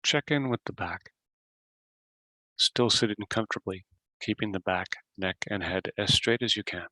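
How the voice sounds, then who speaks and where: Low-voiced man guiding a meditation. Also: sharp tone, no room sound. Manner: measured instructional delivery with long pauses between short phrases. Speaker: meditation guide and podcast host. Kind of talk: guided meditation narration.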